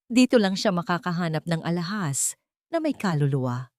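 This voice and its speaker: A kindly old grandfather voiced by a woman narrator talks with upbeat affection. He is lively, in a dry storybook drama recording. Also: lowish voice, normal speed, no echo. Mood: upbeat, proud.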